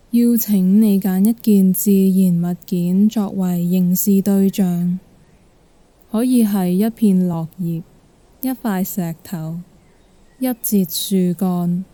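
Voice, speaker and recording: A woman with a Hong Kong Cantonese accent guiding a meditation in a gentle, calm manner. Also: medium pitch, no echo. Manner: gentle, calm, unhurried instruction. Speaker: guided meditation instructor. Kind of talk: guided meditation narration.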